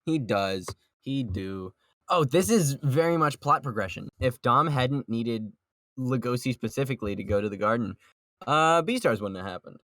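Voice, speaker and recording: A man's voice: medium pitch, lively intonation, moderate pace, a bit bright, dry recording, clean studio sound. A man chatting casually and playfully as a podcast co-host on an online voice call. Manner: playful, jokey. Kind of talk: casual chat about manga.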